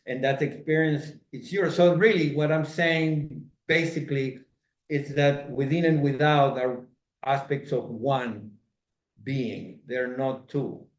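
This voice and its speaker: A man's voice: mid-range voice, steady tone, slow pace. A middle-aged man from Venezuela, talking earnestly as an interview guest in a reflective spiritual conversation, gesturing broadly as his phrases loop and circle back. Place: home room, indoors. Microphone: video call webcam. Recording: roomy, dull video-call audio.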